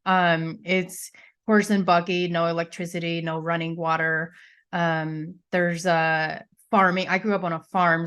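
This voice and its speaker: A woman's voice: fairly low pitch, a bit bright. A young woman with a Pennsylvania Dutch accent, her first language, telling her life story as a podcast guest in a chatty way, full of fillers and restarts. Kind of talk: casual interview storytelling.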